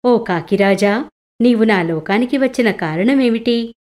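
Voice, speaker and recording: Woman playing a calm creator god. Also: on the high side, unhurried, natural voice. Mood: reassuring.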